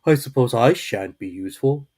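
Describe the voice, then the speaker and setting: A woman's voice: extremely low pitch, expressive, normal speed, dark tone, slightly rough, full character voice. Mild storybook bear, done by a woman, simple-minded, humble, ponderous, good-natured male character. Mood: sad.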